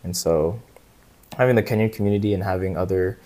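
Deep-voiced young man, soft-spoken. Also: quick pace. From United States, Colorado. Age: young adult. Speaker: interviewee. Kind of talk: casual conversation.

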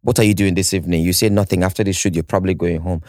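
A man's voice: low voice, fairly even intonation, very fast, a little mellow, no room sound, studio quality. A man in his forties with a Nigerian accent, hosting a casual podcast debate, teasing and pressing for answers and mixing in pidgin. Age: 40s. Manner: teasing, insistent, mixes pidgin. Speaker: podcast host.

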